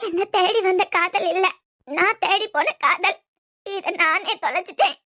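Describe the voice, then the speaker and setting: A woman's voice: very high voice, unhurried, exaggerated voice. A heartbroken, tearful young woman. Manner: soft, tearful, broken phrases. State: crying. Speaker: heroine in a TV serial. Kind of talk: TV serial acting.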